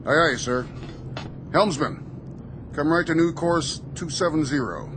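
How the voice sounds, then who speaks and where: An actor playing a brisk, obedient Navy bridge officer in a radio drama, relaying orders in clipped, formal phrases. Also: male voice. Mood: brisk, dutiful. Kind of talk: radio theatre acting. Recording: clear noise, rumbling engine ambience.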